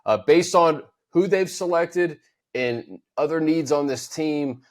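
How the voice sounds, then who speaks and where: A man's voice: fairly high pitch, slow pace. A man hosting a casual football talk show, easygoing and conversational as he prompts his co-host.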